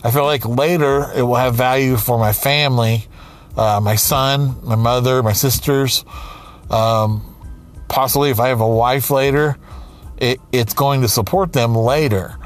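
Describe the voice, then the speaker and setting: A man around forty speaking slowly and candidly, pausing and restarting as he thinks aloud. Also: medium pitch, fairly even intonation, faint music behind. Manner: slow, halting, with many restarts and pauses. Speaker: aspiring writer, comedian and podcaster. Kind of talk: reflective personal monologue.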